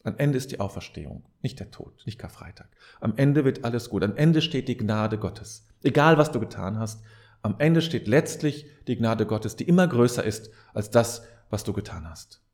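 A man's voice: mid-range voice, lively intonation, moderate pace, darkish tone. A man giving a reflective advice monologue as a podcast host, speaking straight to the listener in an earnest, preacher-like way and repeating key phrases for emphasis.

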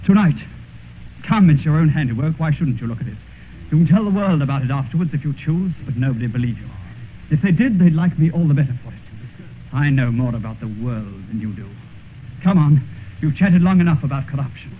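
An actor playing a vain, cynical, decadent gentleman in a theatrical, taunting radio drama style. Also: on the high side, expressive, brisk, narrow, tinny audio, natural voice. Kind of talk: 1950s radio drama acting. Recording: scratchy old radio recording, thin band, hiss.

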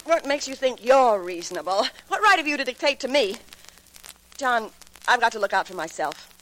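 A woman playing a headstrong, sharp-tongued young woman in a theatrical 1940s radio drama style. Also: fairly high pitch, sing-song, normal speed, a little hiss or hum, rough recording. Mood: defiant, scornful. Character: defiant, headstrong young woman juggling two suitors.

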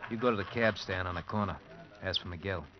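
A man's voice: low voice, fast, a crowd in the background, natural voice. An actor playing a shady, guarded American bar manager in a vintage radio drama, talking in insinuating, hesitant, clipped tough-guy phrases. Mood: conspiratorial. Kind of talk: 1940s-50s radio drama acting. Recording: dull vintage radio recording with music behind.

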